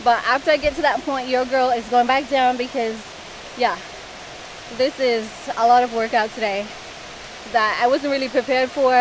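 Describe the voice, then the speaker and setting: A fairly high-voiced woman in her thirties, out of breath as she talks to a handheld camera on a forest stairway. Her chatty travel-vlog patter keeps breaking into sighs and puffs. A clear rush of waterfall noise runs behind her outdoor recording. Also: steady tone. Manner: breathless, chatty, sighing between lines. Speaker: travel vlogger. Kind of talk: casual vlog talk to camera. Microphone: handheld camera at arm's length. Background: rushing waterfall noise.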